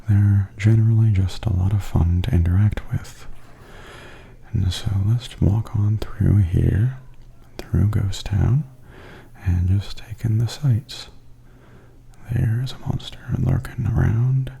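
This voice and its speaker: Deep, breathy, near-whispering man. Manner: gentle, unhurried, soothing. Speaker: host and tour guide. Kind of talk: ASMR, softly spoken tour commentary.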